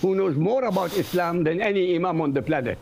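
A man from Iraq, a native Arabic speaker with an Iraqi Arabic accent, hosting a talk show in an emphatic, animated way. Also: high-pitched, quick pace, gravelly. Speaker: host. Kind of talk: casual talk show chat. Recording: dull lo-fi audio with faint noise.

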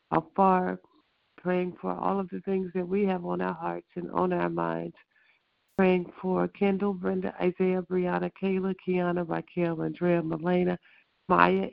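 A hoarse, congested prayer leader speaking over a tinny phone line, with a deeply gravelly, creaky texture. The delivery stays flat and even, a slow measured litany with small pauses. The line is dry and close, with no room sound. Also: no room sound. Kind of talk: spoken prayer on a call.

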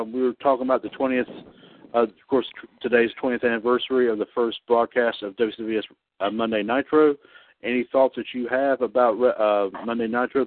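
A man in his thirties hosting a casual online radio show about wrestling. He talks haltingly, with many fillers and restarts. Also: mid-range voice, no echo. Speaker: podcast host. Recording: tinny phone-line sound, dry.

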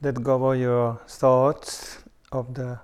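A man giving unhurried guided meditation instructions with long pauses. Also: medium pitch, flat delivery, a little mellow, smooth.

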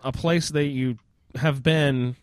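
A man's voice: medium pitch, fairly even intonation, takes it slowly, no room sound, studio quality. A man hosting a casual roundtable chat about a video game, steering the group with questions. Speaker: podcast host moderating a roundtable.

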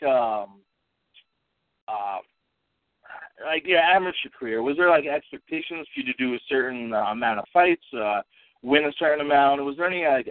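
A man's voice: mid-range voice, dry recording. Stuffy man hosting over a phone line. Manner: halting, many fillers and restarts. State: stuffy from allergies and a cold. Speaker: podcast host. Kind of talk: casual call-in podcast hosting. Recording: tinny dry phone line.

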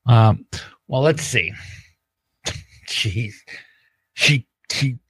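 Slow, musing man hosting a podcast. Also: medium pitch, lively intonation, fairly bright tone, breathy edge, no room sound, rough recording. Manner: hesitant, musing. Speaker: podcast host. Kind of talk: casual chat.

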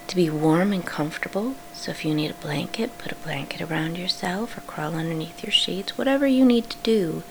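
A woman with a breathy, often whispery voice gently guides a sleep meditation, hushed and unhurried, with reassuring instructions. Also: lowish voice. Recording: clearly audible background hum.

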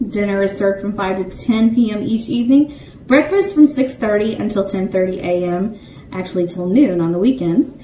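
A woman's voice: mid-range voice, warm tone. A woman hosting a livestream show, chatting enthusiastically to viewers as she reads announcements and sponsor plugs. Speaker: radio network show host. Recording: roomy echo, distant mic, faint noise.

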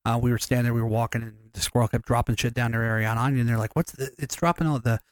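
A man's voice: medium pitch, steady tone, very fast, dry recording, studio quality. A man co-hosting a podcast, chatting casually in a rambling way, swearing freely and restarting his sentences. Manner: rambling, profane, many restarts. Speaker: podcast co-host. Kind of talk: casual chat.

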